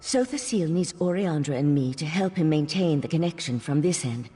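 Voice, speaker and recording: An actress voicing an earnest, worried mage in a video game, husky and pleading. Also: female voice, sits low, lively intonation, normal speed, warm tone. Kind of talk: video game character acting. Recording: hall reverb with music.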